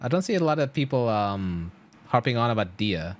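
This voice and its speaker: An enthusiastic man chats casually as a live game streamer, his pitch swinging up and down freely. His talk is slangy and rambling, with a middle-register voice. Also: big pitch swings, normal speed. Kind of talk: casual chat with stream viewers.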